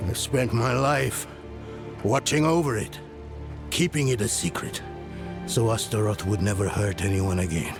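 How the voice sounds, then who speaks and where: An actor playing a weary, solemn older guardian and father in a fantasy game, speaking gravely with a gravelly voice. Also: fairly low pitch. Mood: grave confession. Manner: grave, measured. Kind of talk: dark fantasy game dialogue acting. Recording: music behind the voice. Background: music.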